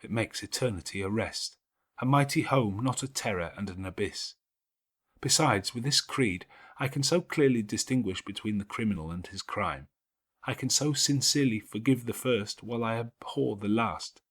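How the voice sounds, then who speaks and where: A man voicing a calm, pious, forgiving schoolgirl in an audiobook, speaking in measured, earnest, formal Victorian phrases. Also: on the low side, husky. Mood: serene, devout. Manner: measured, gently preaching, formal Victorian diction. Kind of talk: audiobook character acting.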